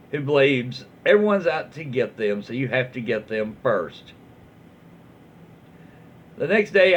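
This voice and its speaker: A man hosting and reading internet stories aloud in a folksy way, with dramatic pauses, emphasis and his own asides. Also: medium pitch, dull audio. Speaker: online story reader and host.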